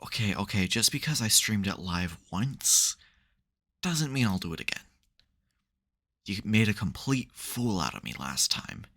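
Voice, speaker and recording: A man playing an exasperated but secretly caring supervillain in an intimate ASMR audio roleplay, wry and gravelly. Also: lowish voice, very animated, unhurried, fairly bright tone, exaggerated voice.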